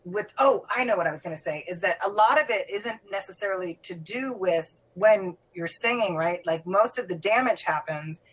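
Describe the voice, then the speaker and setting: A woman's voice: mid-range voice, moderate pace, few pauses, husky, poor audio. A middle-aged woman hosting a casual conversation, chatty and rambling, often laughing. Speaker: podcast host.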